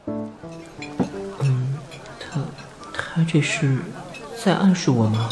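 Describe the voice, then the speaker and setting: A man's voice: medium pitch, expressive, very slow, over loud music. A man playing a naive, good-natured young man, blunt yet hesitant, in an amateur audio drama. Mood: wondering. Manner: hesitant, guileless. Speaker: amateur voice actor.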